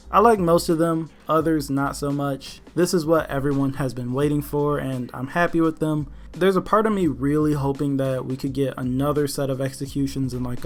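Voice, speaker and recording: Higher-voiced man giving gaming commentary over music. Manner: casual, opinionated, addressing viewers directly. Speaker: video game news YouTuber. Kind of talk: scripted gaming news commentary. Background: background music.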